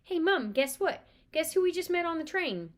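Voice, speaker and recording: A woman voicing excitable, teasing teenage twin brothers in a storybook read-aloud. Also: extremely high pitch, moderate pace, pitched above the performer's own voice. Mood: gleeful cheek. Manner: exclaiming, mock-surprised teasing.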